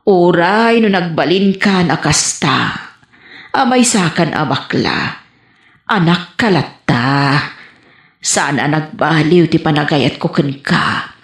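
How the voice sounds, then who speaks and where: Lady acting a emotional ilocano mom, radio drama, really slow talk, echoey. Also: sits low, expressive, heavily acted. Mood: tender, accepting. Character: tender, emotional, accepting mother of grown children. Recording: echoey room sound.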